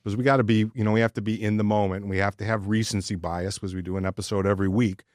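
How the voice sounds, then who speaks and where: Lowish-voiced man in casual sports chat. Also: steady tone, very fast, darkish tone, no echo, studio quality. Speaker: podcast co-host.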